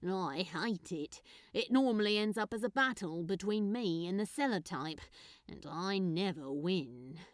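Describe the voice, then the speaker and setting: A woman voicing a tired, hesitant, dryly grumpy but soft-hearted man in a storybook reading. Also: on the low side, animated, unhurried, no echo. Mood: wry. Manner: hesitant, mumbling, dry.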